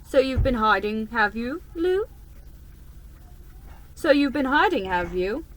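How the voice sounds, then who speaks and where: A woman's voice: on the high side, very animated, slow pace. A woman voicing a teasing, patronizing big brother. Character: teasing eldest boy, good-natured and patronizing. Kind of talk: storybook reading.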